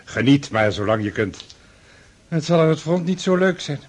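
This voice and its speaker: An actor in a radio drama playing a fond, faltering older count, an indulgent father with a husky voice. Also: a little high, lively intonation, normal speed. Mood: jovial.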